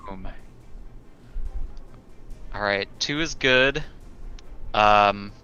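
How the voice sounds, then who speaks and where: A man's voice: on the low side, extremely slow, sharp tone, clean tone. A man playing a tabletop game, talking casually and matter-of-factly as he thinks aloud. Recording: dull lo-fi mic, faint music behind.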